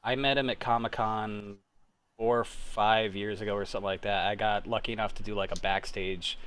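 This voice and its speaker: A man's voice: fairly low pitch, little pitch movement, a little fast, fairly bright tone, a little hiss or hum. A man in his thirties hosting a casual group chat, talking in an informal, rambling way and often interrupting himself. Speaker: host.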